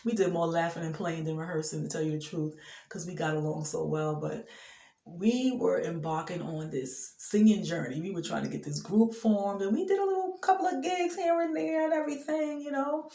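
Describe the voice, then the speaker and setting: A woman's voice: medium pitch, moderate pace, warm tone, reverberant. A woman telling her faith testimony in an earnest, emphatic way, often laughing between thoughts. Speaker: person sharing a faith testimony. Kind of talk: heartfelt personal testimony, casual.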